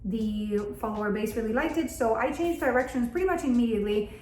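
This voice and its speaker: High-voiced woman vlogging in an echoey room. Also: fast, darkish tone, slight background noise. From Argentina. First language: Spanish. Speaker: content creator and video host. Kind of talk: casual chatty vlog. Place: bathroom at home, indoors.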